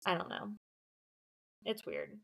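A woman's voice: fairly low pitch, takes it slowly, gravelly, a bit bright. A woman discussing books casually as a co-host, explaining her points in a conversational way. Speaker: book podcast co-host.

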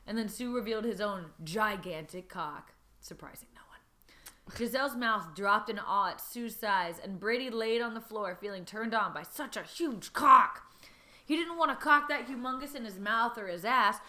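A woman co-hosting a comedy podcast, giving a deadpan dramatic reading that keeps breaking into laughter. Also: medium pitch. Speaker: podcast co-host reading fan fiction aloud.

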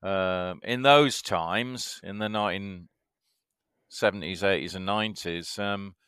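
A man in his fifties from Yorkshire, a guest telling anecdotes in a halting way, with many fillers. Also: sits low, a bit bright, clean tone, no echo, clean studio sound. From Yorkshire, England. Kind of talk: casual interview chat.

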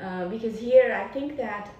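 A woman's voice: fairly high pitch, normal speed, a little mellow, dull audio, slight background noise. A woman from Nepal, a dentist who owns her practice, chatting in an interview with many fillers and restarts. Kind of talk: casual interview chat. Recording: echoey room, distant mic.

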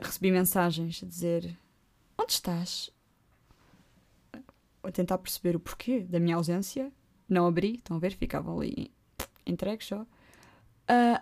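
A young woman with a European Portuguese accent hosting her own podcast, chatting casually and poking fun at herself with quick asides. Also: on the low side. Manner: joking, self-mocking, lively asides. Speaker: podcast host. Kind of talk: casual solo podcast chat. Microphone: close podcast microphone.

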